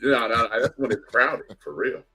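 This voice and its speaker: Male podcast co-host from the Chicago area, casual and animated, lively intonation. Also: medium pitch, normal speed, breathy edge. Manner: animated, loud bursts when excited, trails off searching for words. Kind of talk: casual chat.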